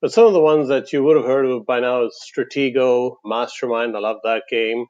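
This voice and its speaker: A man's voice: medium pitch, fairly even intonation, normal speed, darkish tone, highs cut off. A man from Sweden talking casually as a guest on a podcast. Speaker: podcast guest, game publisher. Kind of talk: casual chat.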